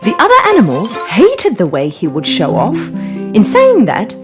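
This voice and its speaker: A woman storyteller reading a scripted children's fable in an expressive, dramatic way. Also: mid-range voice, moderate pace. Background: music.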